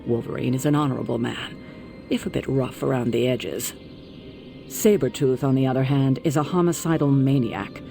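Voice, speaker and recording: An actor playing a composed, authoritative leader of a superhero team, speaking in a measured, formal, articulate way in a dramatic video game. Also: male voice, mid-range voice, gravelly, warm tone, close to an everyday voice. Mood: grave, informative. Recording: music behind the voice.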